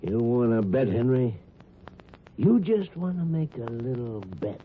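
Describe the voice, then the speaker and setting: An actor playing a sly, needling small-town doctor who insinuates, in a 1950s radio drama. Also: male voice, mid-range voice, very animated, measured pace. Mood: grudging, goading. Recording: tinny 1950s radio recording, faint noise.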